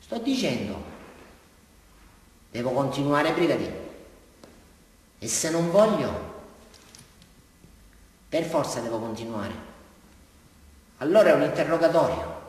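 A man's voice: fairly high pitch, very animated, slow pace, pauses often, darkish tone. An actor playing an unhinged, boastful southern Italian prisoner confessing to a policeman in a rambling theatrical monologue. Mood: rambling, confiding. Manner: rambling, volatile, repeats himself. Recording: echoey hall, distant mic, faint noise.

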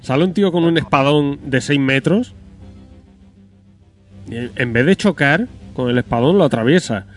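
A man's voice: on the high side, normal speed, long pauses, faint music behind. Blunt, skeptical man. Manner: blunt, swears, skeptical, laughs often. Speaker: podcast co-host. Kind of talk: casual gaming chat.

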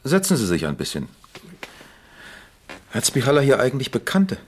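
An actor playing a calm, probing East German police captain in a radio crime drama, questioning in an official, deliberate way. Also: male voice, medium pitch, a little hiss or hum. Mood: affable, probing.

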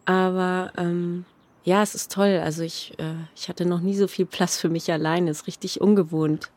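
A woman's voice: fairly low pitch, steady tone, moderate pace, darkish tone. A woman talking hesitantly and conversationally, as in a personal audio diary.

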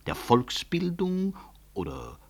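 An actor playing a proud, upright medical director, curt, precise and dryly ironic in a radio drama. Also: male voice, medium pitch, wildly swooping pitch, slow, no echo, heavily acted. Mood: cool, polite. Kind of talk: 1960s German radio drama acting.

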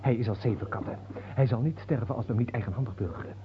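An actor playing an obsequious, scheming viscount at a royal court in a theatrical radio play. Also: male voice, mid-range voice, fast, noisy background, natural voice. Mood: scheming, conspiratorial. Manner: servile and hesitant, conspiratorial among accomplices. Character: obsequious, scheming courtier viscount plotting against his king. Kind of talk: theatrical radio drama acting. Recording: dull old radio recording.

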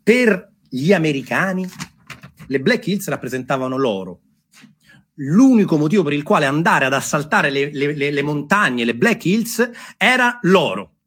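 A man's voice: on the high side, expressive, moderate pace, fairly bright tone, slightly rough. A man telling history as a theatrical monologue, emphatic, repeating himself and drawing out key words. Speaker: theatre storyteller and performer. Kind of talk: dramatised historical storytelling monologue for an audience.

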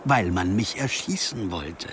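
An actor playing a hard-drinking, uneasy traveller with a wry, brooding manner in a German radio play. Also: male voice, on the low side, animated, measured pace, a bit bright, slight background noise.

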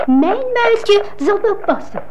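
Very high-voiced, vain stepsister. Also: female voice, lively intonation, slow, very noisy, heavily acted. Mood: boastful, haughty. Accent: Flemish. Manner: haughty, squabbling, theatrical. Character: vain, boastful young fairy-tale stepsister. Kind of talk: 1950s radio drama acting.

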